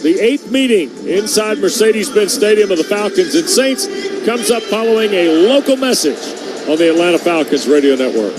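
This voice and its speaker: A man's voice: high-pitched, big pitch swings, moderate pace, music in the background. A man working as a radio play-by-play announcer, giving live football broadcast commentary. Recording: clear stadium noise behind.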